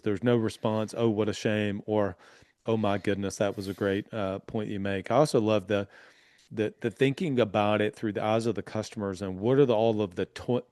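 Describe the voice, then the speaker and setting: A man with a low voice hosts a business podcast in an upbeat, affirming way. His voice sounds dry and close, with little room around it. He moves easily between casual chat and sponsor reads. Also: a little mellow, no echo. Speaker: podcast host.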